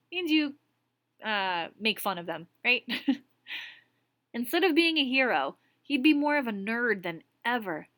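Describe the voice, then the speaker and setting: A woman's voice: medium pitch, pushed and bright, dry recording. A woman teacher reads a story aloud to her class. She keeps stopping to explain words and ask checking questions.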